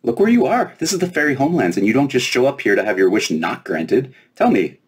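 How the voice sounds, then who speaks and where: A man's voice: medium pitch, sing-song, quick pace, breathy edge, voice pushed up. A man reading a children's storybook, voicing a small, proud, teasing fairy princess who is breezy and self-important. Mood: curious, pushy. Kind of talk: children's storybook read aloud.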